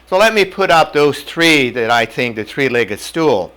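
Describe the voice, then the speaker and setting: An older man from North Dakota whose first language was German, giving a measured lecture on medical ethics in a classroom on a lapel mic. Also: medium pitch, expressive, fairly bright tone, smooth. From North Dakota, USA. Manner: measured, plain-spoken teaching with homely examples. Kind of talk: whiteboard lecture. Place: indoor classroom in front of a whiteboard.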